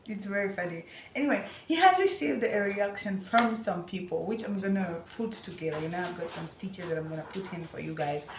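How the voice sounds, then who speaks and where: Woman reacting to clips, amused, roomy echo and some hiss. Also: medium pitch. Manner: amused, talks to viewers directly. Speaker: commentary host. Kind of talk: reaction commentary.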